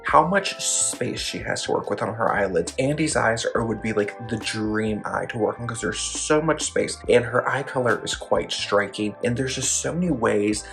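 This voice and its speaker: A man narrating a makeup tutorial, gently explaining each step in detail. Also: normal speed, vocal fry, hardly any pauses, faint music behind. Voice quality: describes own voice as deep.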